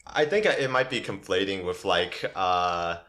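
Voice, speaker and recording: A man talking as a podcast guest, hesitant, pausing often with "uh" and "like". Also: deep voice, expressive, fairly bright tone. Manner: hesitant, many "uh" and "like" fillers. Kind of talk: casual podcast conversation.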